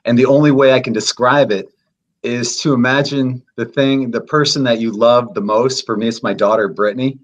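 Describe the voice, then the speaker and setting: A man in his late fifties speaks on a video call in an earnest, steady way. He explains at length and leans on repetition to drive his points home. His voice sits in an ordinary middle range for a man. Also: medium pitch, normal speed, highs cut off. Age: 50s. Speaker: guest. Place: indoor room.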